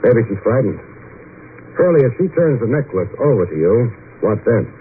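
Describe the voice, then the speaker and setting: Through a tinny, narrow-band old radio recording comes a man playing a hard-boiled private eye. He is cool and laconic, tossing off dry wisecracks with a lively swing in his pitch. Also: normal speed, a little hiss or hum, close to an everyday voice. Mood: calm, wry. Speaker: radio drama lead and narrator. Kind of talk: old-time radio detective acting and narration.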